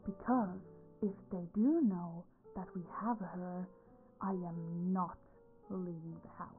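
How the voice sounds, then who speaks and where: Woman performing a female crime boss, unhurried, possessive, teasing, commanding, breathy asides, intimate audio roleplay. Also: medium pitch, phone-quality sound, natural voice. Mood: cold, guarded. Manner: slow, teasing, commanding, often dropping to breathy whispers.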